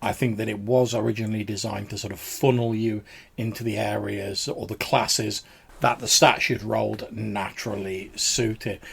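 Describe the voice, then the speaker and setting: A man's voice: fairly low pitch, flat delivery, moderate pace, gravelly, slightly rough. A man hosting a tabletop gaming podcast, answering listener voicemails in a rambling, casual way full of "sort of" and "like". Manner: rambling, many fillers like "sort of". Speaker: podcast host.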